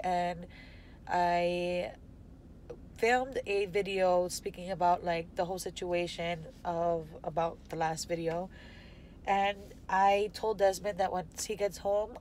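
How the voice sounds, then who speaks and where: A woman's voice: medium pitch, darkish tone, slight background noise, poor audio. A woman in her twenties with a stuffy, nasal voice, rambling candidly in an unscripted vlog from inside a car, filmed on a phone held at arm's length. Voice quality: congested, nasal. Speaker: vlogger. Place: parked car, indoors. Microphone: phone camera at arm's length.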